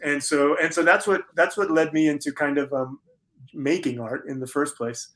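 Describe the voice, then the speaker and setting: A middle-aged man with an American accent speaks reflectively in an interview, pausing thoughtfully and rephrasing as he goes. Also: on the high side, steady tone, fairly bright tone. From United States, Florida. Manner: halting, thoughtful, repeats and rephrases. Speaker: interview guest, conceptual artist.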